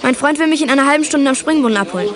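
A woman playing a cheeky, cool-headed girl sleuth in an eighties radio drama. She speaks fast in a high voice, with bustle behind her. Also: crowd noise, lightly acted. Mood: cool, guarded. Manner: self-possessed, pert. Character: plucky teenage girl detective, cool and cheeky. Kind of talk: 1980s German youth radio play acting.

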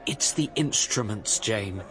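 An actor playing an earnest, dreamy young would-be composer in a radio drama, fervent and self-deprecating. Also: male voice, on the low side, animated, takes it slowly, pushed and bright, exaggerated voice, rough recording. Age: young adult.

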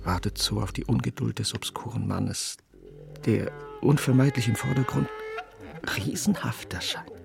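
An actor playing a brooding, bitter newspaper editor in a radio play, speaking in halting, hushed phrases with sudden emphasis. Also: male voice, fairly low pitch, vocal fry. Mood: bitter contemplation. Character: brooding, bitter middle-aged male newspaper editor, tormented and curt. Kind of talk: literary German radio play, interior monologue.

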